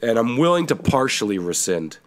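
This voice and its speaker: A man's voice: mid-range voice, brisk. A young Canadian man co-hosting a podcast, talking casually, swearing a lot and breaking into silly voices. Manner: animated, swears often, slips into silly accents. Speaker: podcast co-host. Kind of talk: casual chat.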